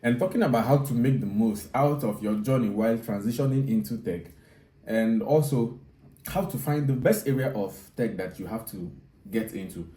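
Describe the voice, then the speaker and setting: A man's voice: mid-range voice, normal speed, dark tone. A man in his thirties giving advice straight to viewers, speaking emphatically and repeating his key points. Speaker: video host, software developer. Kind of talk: direct-to-viewer advice monologue. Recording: roomy echo, distant mic.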